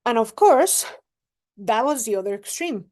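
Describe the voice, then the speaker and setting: Slow-talking woman coach. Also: a little high, lively intonation, a little mellow. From Mexico. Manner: unhurried and reflective, often asks the listener "right?". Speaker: relationship coach. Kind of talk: casual advice monologue to camera.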